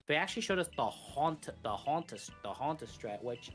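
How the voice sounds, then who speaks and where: A man talks casually as co-commentator on a gaming run. He explains in a halting way, repeating and correcting himself, with music in the background. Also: fairly high pitch, lively intonation, fairly bright tone. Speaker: speedrun co-commentator. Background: video game music.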